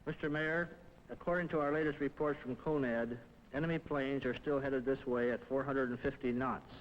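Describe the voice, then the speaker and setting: A man's voice: mid-range voice, fairly even intonation, normal speed, slight background noise. A man briefing officials as a civil defense director, speaking plainly with some hesitation. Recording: dull old film sound in a reverberant room.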